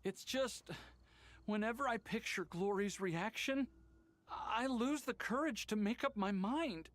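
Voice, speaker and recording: A woman's voice: on the high side, unhurried, no echo, close to an everyday voice. A woman voicing an anguished, self-doubting young character in a video game, hesitant and earnest.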